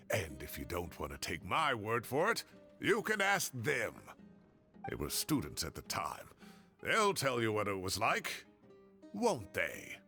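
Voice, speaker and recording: An actor voicing a proud, genial older retired professor in a video game, chatty and dramatic, easily indignant. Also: male voice, mid-range voice, bright, forceful tone, exaggerated voice.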